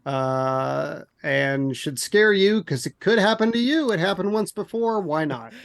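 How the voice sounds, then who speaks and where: A man co-hosting a podcast in casual chat, speaking with a hoarse, somewhat monotone voice and a rambling, self-mocking way of talking. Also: fairly high pitch, a little slow. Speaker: podcast co-host.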